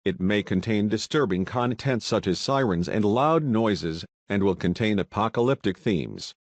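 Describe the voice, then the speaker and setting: A man reading a content disclaimer in a flat, even, informational way. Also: on the low side, moderate pace, no echo. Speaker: disclaimer narrator.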